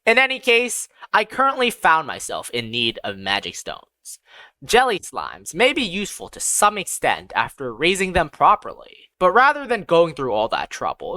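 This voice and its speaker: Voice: medium pitch, huge pitch swings, normal speed, sharp tone, no echo. A narrator reading a first-person fantasy story aloud in a scripted audiobook style.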